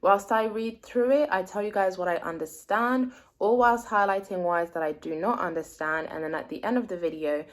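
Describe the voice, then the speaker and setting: A woman with a fairly low voice talks in a relaxed, chatty way. Her first language is French, and she thinks aloud as she puzzles over what she reads. It sounds like a casual learning vlog.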